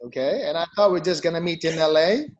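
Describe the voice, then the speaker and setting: A middle-aged man from the Philippines recounts his story with emphasis, leaning on "you know" and "okay?" as he talks. His voice sits a bit high for a man, with faint noise behind him. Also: fast talker, lo-fi sound. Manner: emphatic, repeats for stress, peppers talk with "you know" and "okay?". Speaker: company CEO. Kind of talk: personal story in an interview.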